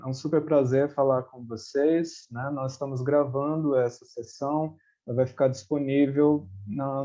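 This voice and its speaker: A man with a Brazilian accent who advisees students, hosting and introducing speakers on a webinar in a friendly, halting way. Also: mid-range voice, dark tone. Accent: Brazilian Portuguese. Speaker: webinar host, education advisor. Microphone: video call. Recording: dull lo-fi webinar audio.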